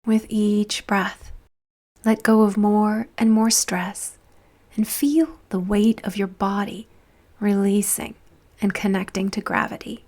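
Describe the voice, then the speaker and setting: A woman narrating a guided visualization in a soft, soothing, deliberate voice with breathy phrase endings and long pauses. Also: medium pitch, little pitch movement.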